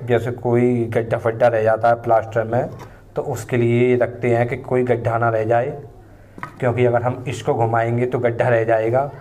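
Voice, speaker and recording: A man's voice: medium pitch, a little mellow. A man in his thirties, a mason, casually explaining his building tools one by one while recording outdoors on a phone. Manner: plain, unhurried explaining, pointing at each tool in turn. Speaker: mason and channel host. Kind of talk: casual tool demonstration. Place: paved courtyard outdoors, tools laid on the ground.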